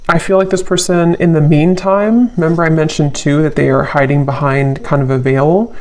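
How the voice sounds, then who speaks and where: A tarot reader talking casually and intuitively to viewers, thinking aloud in a halting way and repeating phrases. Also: mid-range voice, fairly even intonation, darkish tone.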